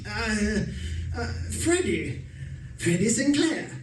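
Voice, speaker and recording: A man's voice: sits high, very animated, takes it slowly, slight background noise, full character voice. A man acting a henpecked, secretly murderous husband in a radio suspense play, hesitant and deferential. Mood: meek, nervous. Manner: hesitant, deferential, stammering under questioning. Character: henpecked, scheming English husband, nervously meek, secretly murderous. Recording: echoey room, distant mic, music behind.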